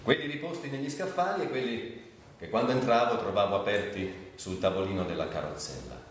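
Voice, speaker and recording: A man's voice: on the low side, a little fast, natural voice. An actor playing a reflective, reverent man in a radio monologue, speaking earnestly in short, emphatic phrases. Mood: reverent, nostalgic. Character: reflective man recalling his admired mentor from childhood. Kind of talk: theatrical radio monologue, reminiscence. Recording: very echoey, distant, faint noise.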